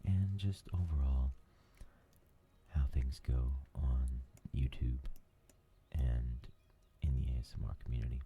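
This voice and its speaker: Very deep, gravelly whispering man. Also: fairly even intonation, a little slow, phone-quality sound. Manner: rambling, soft, many fillers. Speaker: ASMR gaming channel host. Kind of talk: whispered ASMR chat while gaming.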